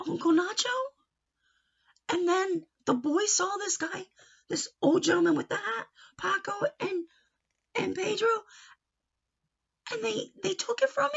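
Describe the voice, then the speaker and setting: A woman reading a picture book aloud to children, expressive, with questioning and explanatory asides. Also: very high voice, creaky, lo-fi sound. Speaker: storybook reader teaching children.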